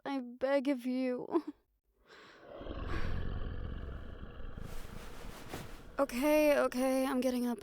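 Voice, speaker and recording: Woman playing a slow-talking, teasing, affectionate siren. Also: high-pitched, dark tone, no room sound. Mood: sleepy, pleading. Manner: playful, affectionate, sighing and teasing. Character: teasing, caring female siren-banshee, fond of her partner. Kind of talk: intimate audio roleplay acting.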